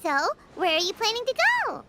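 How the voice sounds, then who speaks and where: A tiny fairy sidekick from a video game with an extremely high, childlike voice. The delivery is chirpy and cheeky, bouncing widely in pitch. Music plays clearly behind. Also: female voice, lively intonation, fairly quick. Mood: chirpy, friendly. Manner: speaks of self in third person, eager. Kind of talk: English video game voice acting.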